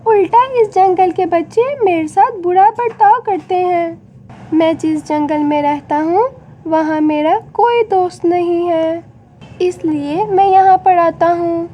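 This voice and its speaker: Woman voicing an earnest, high-pitched little boy chick. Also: slow pace, dark tone, a little hiss or hum. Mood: pleading.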